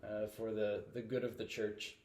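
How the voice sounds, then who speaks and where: Laid-back pastor hosting an interview. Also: male voice, on the low side, moderate pace. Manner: relaxed, filler-heavy, uh and um. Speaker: host, pastor.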